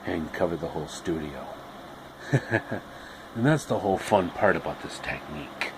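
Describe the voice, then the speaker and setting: Hushed, deep TV painting teacher. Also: male voice, animated, normal speed, background noise. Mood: soft, soothing. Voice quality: hushed, breathy murmur. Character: soft-spoken, gentle, folksy male TV painting instructor. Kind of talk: impression of instructional TV painter.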